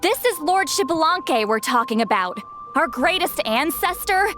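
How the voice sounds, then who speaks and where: A woman's voice: high voice, lively intonation, moderate pace, over music. A woman voicing a modest, timid young girl warrior in an anime game. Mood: exasperated.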